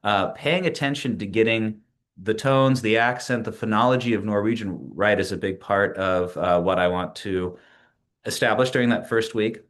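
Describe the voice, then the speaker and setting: A man in his forties, a PhD student, walking through a slide presentation of his learning plan in a measured way, with frequent pauses and restarts. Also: on the low side. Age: 40s. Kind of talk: presentation narration over screen share.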